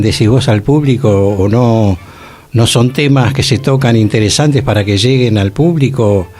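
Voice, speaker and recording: A man's voice: fairly low pitch, a little mellow. A man with a Buenos Aires accent, hosting a radio discussion in an earnest, explanatory way. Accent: Argentine, Buenos Aires. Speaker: radio host.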